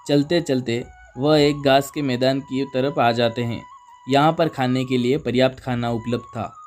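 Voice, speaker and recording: A man's voice: medium pitch, a little mellow. A man narrating a scripted children's moral story. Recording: music under the voice. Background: background music.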